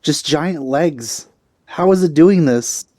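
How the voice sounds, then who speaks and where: A man's voice: a little high, slow, mellow, dry recording. A man giving casual let's play commentary in a rambling, hesitant way, often correcting himself, close to the microphone.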